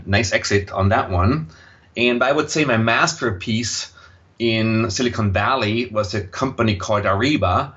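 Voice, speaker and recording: A man's voice: on the low side, fairly bright tone, dull audio. A man in his sixties from Austria, a native German speaker with an Austrian accent, talking conversationally as an interview guest. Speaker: podcast guest, impact investor and former tech executive. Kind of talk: conversational personal account in an interview.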